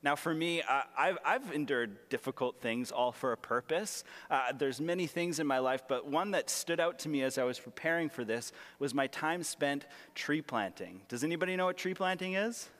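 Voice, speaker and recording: A young male pastor delivers his sermon in a raspy voice that sits a little high. He is earnest but conversational, poking fun at himself. His words ring with the long reverb of a large hall. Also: lively intonation, normal speed, hardly any pauses, fairly bright tone. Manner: earnest, self-deprecating, conversational with humor. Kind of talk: sermon with personal stories.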